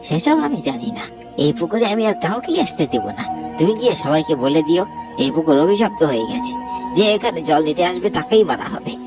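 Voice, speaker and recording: A man's voice: on the high side, sing-song, brisk, over music. A man voicing a wronged young man in a Bengali horror cartoon, insistent and aggrieved in manner. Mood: bitter, vengeful. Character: wronged young man, murdered, now a vengeful restless pond ghost.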